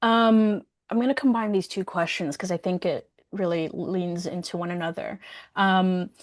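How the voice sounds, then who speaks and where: Woman moderating, halting and thinking aloud. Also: on the low side, steady tone. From Somali community. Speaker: workshop moderator. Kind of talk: casual discussion, relaying audience questions.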